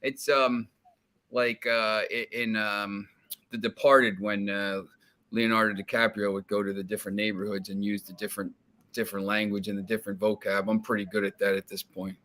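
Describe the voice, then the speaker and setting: Rambling New guy, bright voice. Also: lowish voice, flat delivery, moderate pace. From New Jersey, United States. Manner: rambling, repeats words, many fillers. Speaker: soccer betting guest. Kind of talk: casual betting chat.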